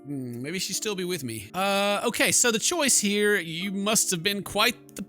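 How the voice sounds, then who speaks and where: A man's voice: sits high, animated, a little slow, pushed and bright, faint music behind. A man hosting a gaming video, jokey and exclamatory, mixing casual commentary and muttered asides with reading text aloud.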